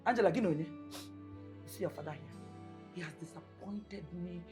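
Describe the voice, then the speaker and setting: A woman's voice: lowish voice, moderate pace, lightly acted. A woman acting a bitter, impatient middle-aged mother in a film drama, with a Nigerian accent, scolding and repeating herself for emphasis. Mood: bitter, agitated. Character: bitter, impatient mother, callous toward her sick husband. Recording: music playing behind the dialogue.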